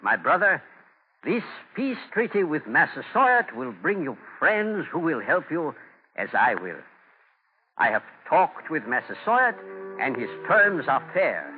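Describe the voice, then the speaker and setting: An actor playing a dignified, compassionate Native man, speaking earnestly in measured, formal words, in 1940s radio drama style. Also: a little high, very animated. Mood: earnest, friendly. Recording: tinny, noisy 1940 radio recording.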